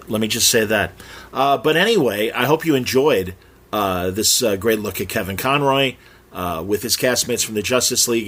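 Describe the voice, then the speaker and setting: A man with a fairly low voice hosts a podcast solo. His commentary is casual and chatty, a little halting as he shares his opinions. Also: fairly bright tone, slightly rough. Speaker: podcast host.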